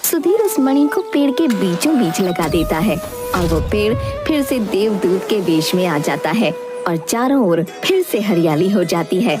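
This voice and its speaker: Female story narrator, scripted cartoon horror tale, mid-range pitch, prominent music bed. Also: big pitch swings, measured pace.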